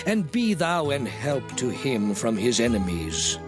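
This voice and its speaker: A man's voice: medium pitch, wildly swooping pitch, measured pace. A man playing Moses, an old prophet, giving a grave, declamatory address in an audio drama. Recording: music clearly underneath.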